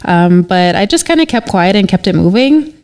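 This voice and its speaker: A woman's voice: medium pitch, expressive, normal speed, a little mellow, smooth. A young woman from Canada, an artist guest on a podcast, answering in a casual, hesitant way full of fillers.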